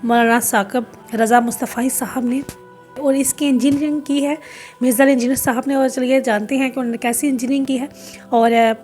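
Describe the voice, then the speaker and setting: High-pitched woman presenting over music. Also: fairly even intonation, a little fast, darkish tone. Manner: courteous, devout formal greetings and blessings. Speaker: reaction video host. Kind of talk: presenting a religious reaction video to viewers.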